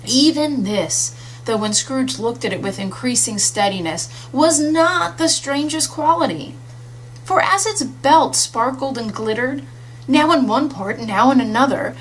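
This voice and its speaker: Female storyteller, higher register, expressive scripted audiobook read, faint background noise. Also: poor audio. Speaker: audiobook reader. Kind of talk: expressive scripted literary narration. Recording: audible hum and faint noise.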